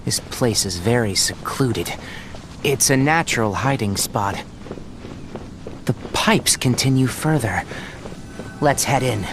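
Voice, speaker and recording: An actress playing a composed young female scholar in a video game, with a measured, reserved, explanatory manner. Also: a bass voice, animated, noisy background, exaggerated voice. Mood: calm, explanatory. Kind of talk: video game character acting. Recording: faint game music behind the voice.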